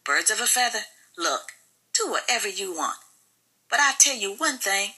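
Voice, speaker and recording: A woman's voice: mid-range voice, animated, moderate pace, pushed and bright, breathy edge, voice pushed up, rough recording. A woman voicing an angry, accusing wife in an audiobook, with biting, sarcastic, colloquial delivery. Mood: bitter, mocking. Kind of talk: audiobook character dialogue.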